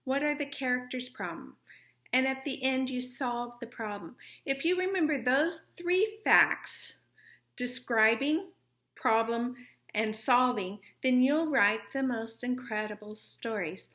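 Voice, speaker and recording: A woman with a high voice reads aloud for children at a slow pace. She is deliberate and explanatory, like a teacher taking a class through a book. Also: fairly bright tone. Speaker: story reader and teacher.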